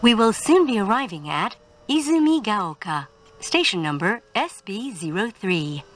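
A woman giving a scripted train announcement in a formal, measured manner. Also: mid-range voice, sing-song. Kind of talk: scripted English in-car announcement.

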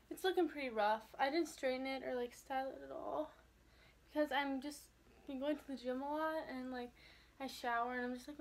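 A young woman with a somewhat high voice talks casually to her camera. She rambles and wanders into asides in the style of an informal vlog. The amateur bedroom recording carries faint noise. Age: young adult. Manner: chatty, rambling, trailing off into asides. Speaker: vlogger. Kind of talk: casual chat to camera, showing shopping. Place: small bedroom, indoors.

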